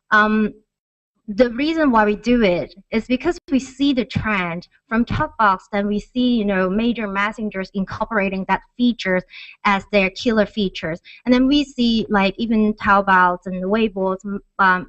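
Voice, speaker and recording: A woman's voice: medium pitch, dry recording. A woman founder on stage at a tech conference, explaining her business into a close, dry, slightly dull-sounding mic. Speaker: startup founder presenting at a conference. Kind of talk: conference pitch and question answering. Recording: dull, lo-fi event recording.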